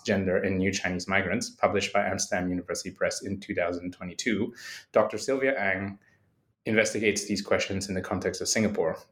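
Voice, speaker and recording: A man's voice: low-pitched, a bit bright. A man hosting an academic book podcast, reading a polished introduction and asking questions. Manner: polished, reads the introduction smoothly. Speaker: podcast host and history lecturer. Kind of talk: scripted introduction then interview questions.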